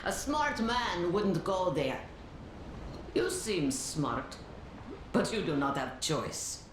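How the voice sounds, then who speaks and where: Somber young wasteland woman, slow halting lines, really noisy background. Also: fairly low pitch, very animated. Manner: grave, terse, halting phrases. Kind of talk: dramatic video game acting.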